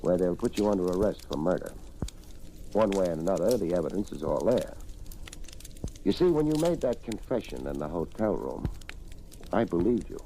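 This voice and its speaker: An actor playing a shrewd, wry private investigator in an old-time radio detective drama. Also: male voice, sits low, lively intonation, vocal fry, dark tone, slight background noise, low-quality recording. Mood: knowing, accusing. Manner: wry, knowing, deliberate.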